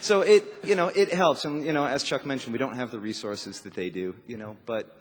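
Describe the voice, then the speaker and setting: A man's voice: mid-range voice, a little fast. A man, a band musician, chatting casually as an interview guest on a theatre stage. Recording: echoey theatre hall.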